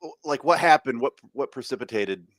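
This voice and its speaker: A man's voice: mid-range voice, brisk, no echo. A man co-hosting a podcast in casual, easygoing chat, prompting his co-host with short questions. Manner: relaxed, chatty, laughs easily.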